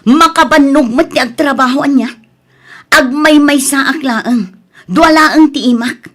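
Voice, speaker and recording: A woman's voice: high voice, slow pace. A woman with an Ilocano accent playing an exasperated, overburdened daughter and elder sister in an audio drama. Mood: exasperated scolding. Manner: exasperated, reproachful. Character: overburdened, frustrated dutiful daughter and elder sister, about thirty. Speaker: drama actress.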